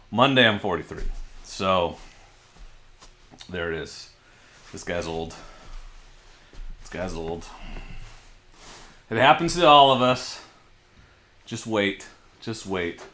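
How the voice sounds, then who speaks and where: A man's voice: mid-range voice, bright, forceful tone. A man in his forties hosting a casual livestream from his home garage, chatting and answering viewer comments as he reads them out on a desk microphone. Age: 40s. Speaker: livestream host.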